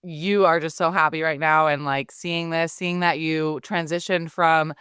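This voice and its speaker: A woman in her thirties with a fairly low voice that sounds very smooth and clean. She chats casually and keeps slipping into quoting her own thoughts. The sound is dry and close. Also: steady tone, unhurried, fairly bright tone, no echo. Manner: chatty, acts out her own thoughts in quotes. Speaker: skincare business owner. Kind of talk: casual podcast conversation.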